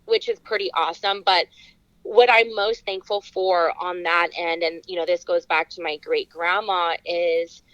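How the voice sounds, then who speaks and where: A woman's voice: lowish voice, fairly even intonation, measured pace, fairly bright tone, dry recording, rough recording. A woman from California speaking as a podcast guest, telling personal stories in a casual way. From California, United States.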